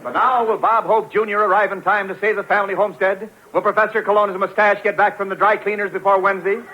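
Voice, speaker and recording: A man's voice: sits high, steady tone, fast talker. A man announcing in mock-dramatic 1940s radio serial narration. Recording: muffled 1940s radio, crowd and noise.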